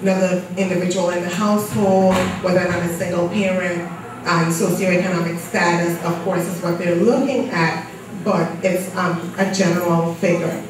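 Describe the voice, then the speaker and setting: A woman's voice: on the low side, steady tone, normal speed, noisy background. Woman presenting research in an echoey hall. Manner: conversational, explanatory. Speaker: graduate student presenter and moderator. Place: lecture hall. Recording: echoey hall from far off with audience.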